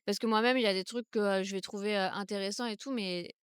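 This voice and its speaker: A young woman from Brittany, France, talking casually outdoors on a vlog and musing with many pauses and restarts. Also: mid-range voice, steady tone, smooth, no echo, studio quality. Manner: thoughtful, hesitant, many fillers and restarts. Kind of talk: casual reflective vlog talk. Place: outdoors in the countryside, seated on a wooden stool.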